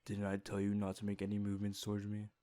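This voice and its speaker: A man playing a predatory, bullying police officer in a fan dub, speaking deliberately with menacing condescension. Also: lowish voice, completely flat delivery, mellow, no room sound, natural voice. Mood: cold, intimidating. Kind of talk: fan-dub dramatic acting.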